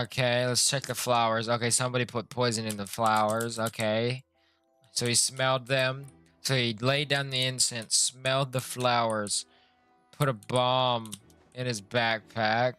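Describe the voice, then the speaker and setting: A man's voice: medium pitch, bright, forceful tone, smooth. A man streaming a game with casual, laid-back, joking and slangy commentary. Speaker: live streamer.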